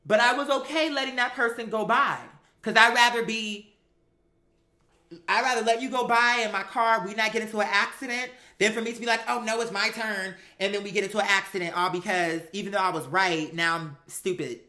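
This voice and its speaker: Sassy, bright-voiced woman storyteller in an echoey room. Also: mid-range voice, fairly even intonation, brisk. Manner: sassy, emphatic, acts out quoted dialogue; profane, slangy. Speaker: storyteller recounting a personal experience. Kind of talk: animated personal storytelling.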